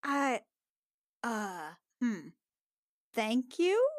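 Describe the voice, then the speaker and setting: A woman with a fairly high voice plays a tabletop game in a dry, close recording. She talks casually and thoughtfully, feeling out her phrasing as she goes. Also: very animated, very slow, no room sound.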